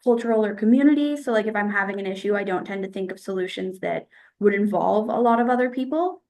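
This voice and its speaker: A young woman from the United States answers interview questions in a casual, thoughtful way, pausing often to find her words. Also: mid-range voice, a little mellow. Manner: thoughtful, hesitant, with fillers.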